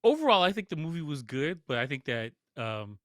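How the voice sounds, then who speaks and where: A man with a bright, forceful voice talks through a casual film review as a podcast co-host. His pitch moves in a lively, animated way, and he keeps an informal, lightly amused tone. The sound is dry and close. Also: brisk, no room sound.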